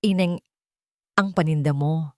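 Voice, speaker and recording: A woman voicing a weary, wise old man in an audio story, speaking gently and with meaning. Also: sits low, measured pace, dry recording, studio quality. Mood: weary, hushed. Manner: hushed, meaningful.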